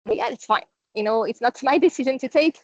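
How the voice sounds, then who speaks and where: Female call presenter, non-native English, slightly high voice, informal and explanatory, very little room sound. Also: fairly quick, a little mellow, slightly rough, dry recording. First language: not English. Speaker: presenter leading a company conference call. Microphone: online conference call. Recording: dull, dry online call audio.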